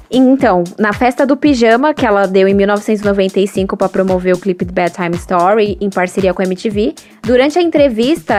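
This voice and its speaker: A woman's voice: medium pitch, darkish tone. A Brazilian woman co-hosting a fan podcast, chatting casually in an effusive, colloquial way. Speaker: podcast co-host.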